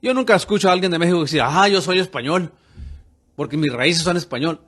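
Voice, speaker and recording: A middle-aged pastor lectures his radio audience in an opinionated monologue. His voice sits a bit higher than most men's. He is insistent and preachy and keeps calling the listener "brother". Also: expressive, a little fast, fairly bright tone. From United States. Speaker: pastor and radio show host.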